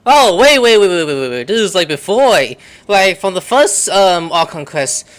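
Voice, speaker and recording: A woman's voice: lowish voice, sing-song, normal speed. A woman giving casual, slangy, exclamatory commentary as the host of a gaming video. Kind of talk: casual let's-play commentary.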